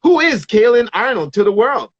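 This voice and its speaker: High-voiced male host, dry dull sound. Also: big pitch swings, normal speed, a bit bright, no room sound. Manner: enthusiastic, rambling questions. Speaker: show host. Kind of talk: casual interview.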